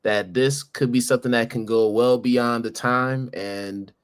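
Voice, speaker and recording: A man's voice: mid-range voice, fairly even intonation, measured pace, smooth. A man hosting a podcast interview, affirming his guest and moving to a closing question. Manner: affirming, wraps up with set question. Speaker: podcast host.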